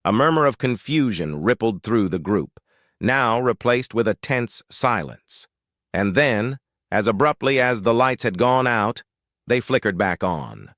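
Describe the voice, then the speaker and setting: A man's voice: medium pitch, expressive, measured pace, a bit bright, no room sound, clean studio sound. A man narrating a suspenseful mystery story in a dramatic, scripted style.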